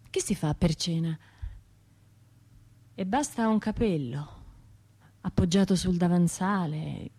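A woman acting haunted survivor, bitter, wry, confiding, colloquial radio drama monologue, mid-pitched voice. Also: darkish tone, lightly acted. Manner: colloquial, confiding, wry, shifting between narration and imagined voices.

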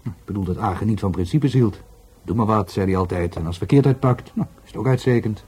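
An actor playing an easygoing, philosophical witness in a radio drama, musing in colloquial speech. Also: male voice, fairly low pitch, brisk, darkish tone, a little hiss or hum, close to an everyday voice. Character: philosophical, easygoing male witness, friend of the victim.

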